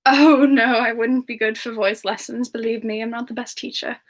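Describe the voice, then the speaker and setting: A woman voicing a sweet, affectionate demon character in a semi-ASMR livestream chat, with a gently giggly, endearing manner. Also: a little high, steady tone, moderate pace. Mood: musing, modest. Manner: gently giggly, affectionate, calls listeners darling. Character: Frostbyte, sweet affectionate demon woman, internet slang novice.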